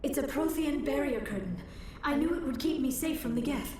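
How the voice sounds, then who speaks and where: Woman acting as young alien female archaeologist, high-pitched, earnest, anxious, video game cutscene, echoing room, formal wording. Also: brisk, mellow, close to an everyday voice. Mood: anxious, urgent. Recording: echoey, distant, faint background noise.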